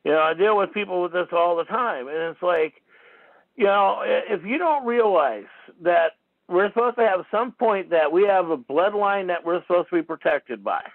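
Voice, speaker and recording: A man's voice: high-pitched, expressive, normal speed, no echo. A man hosting a call-in talk show, talking in a rambling, repetitive, argumentative way. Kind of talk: rambling call-in radio monologue. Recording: tinny phone-line call-in audio.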